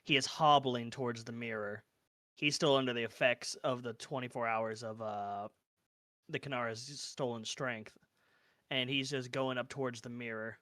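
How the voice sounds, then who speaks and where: A man's voice: medium pitch, fairly even intonation, moderate pace, bright, forceful tone, dry recording, studio quality. A man playing a tabletop roleplaying game, narrating his character's actions in improvised third person.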